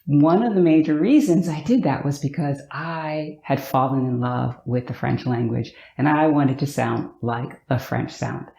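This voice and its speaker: An upbeat, expressive American woman from Virginia, talking to the camera in a vlog and drawing out words for emphasis. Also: a bass voice, measured pace, creaky, few pauses, highs cut off. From Virginia, USA. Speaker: vlogger. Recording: echoey room.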